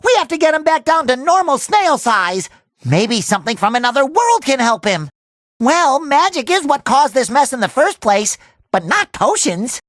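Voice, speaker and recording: A man voicing a cheerful, naive young talking sponge in a cartoon video game, with a squeaky, nasal, exaggerated delivery. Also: big pitch swings, normal speed, a bit bright, no echo, studio quality, full character voice. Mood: alarmed. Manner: exaggerated, earnest, eager delivery.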